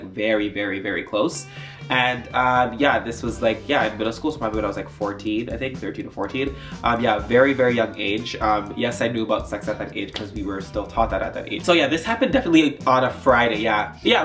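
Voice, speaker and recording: A man's voice: medium pitch, fairly bright tone. Chatty young man with a stuffy nose. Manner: chatty, rambling with many fillers. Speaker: YouTuber. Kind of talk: casual storytime vlog.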